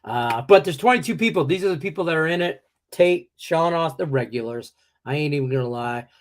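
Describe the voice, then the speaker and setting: A man's voice: on the high side, lively intonation, a little fast, a little hiss or hum. A middle-aged man hosting a sports betting show, chatting loosely and joking, his voice congested and slightly cracky from a cough. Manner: loose and joking, rambling with many fillers. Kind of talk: casual live-stream chat.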